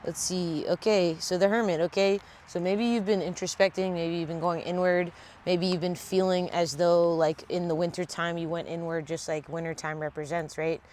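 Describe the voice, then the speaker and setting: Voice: mid-range voice, flat delivery, moderate pace, a little mellow, a little hiss or hum. A tarot reader with a congested, stuffy voice, giving a casual reading at home in blunt, profane everyday talk. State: congested from a sinus infection.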